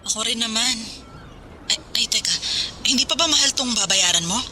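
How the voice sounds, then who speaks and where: A woman's voice: fairly high pitch, lively intonation, normal speed, a little hiss or hum. An actress playing a stern, practical Filipino seaman's wife in a radio drama, firm and exasperated with her husband. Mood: matter-of-fact. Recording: loud music under the voice.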